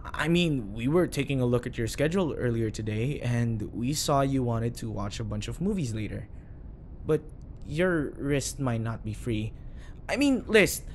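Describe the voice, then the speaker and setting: A man's voice: mid-range voice, expressive, normal speed, a little mellow, a little hiss or hum, lightly acted. A man playing a flustered, obsessively devoted bodyguard in an audio roleplay, earnest and nervously backpedalling. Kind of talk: audio roleplay acting addressed to the listener.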